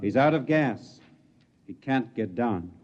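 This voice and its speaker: An actor playing an official military colonel briefing the press in a 1950s radio drama, speaking formally and a little haltingly. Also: male voice, medium pitch, animated, a little mellow. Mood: grim, blunt. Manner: clipped, formal, halting announcement. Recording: dull vintage radio recording.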